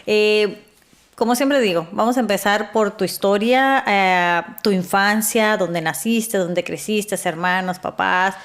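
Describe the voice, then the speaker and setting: A woman hosting a podcast, chatty and casual, keeping the guest going with quick backchannel sounds. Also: mid-range voice. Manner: chatty, frequent "ujú" backchannels. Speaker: podcast host. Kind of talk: casual interview.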